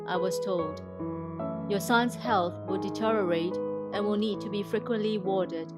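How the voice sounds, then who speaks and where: A woman from Indonesia reads a scripted inspirational testimony in a formal, measured way. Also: mid-range voice, steady tone, loud music behind the voice. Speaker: testimony speaker.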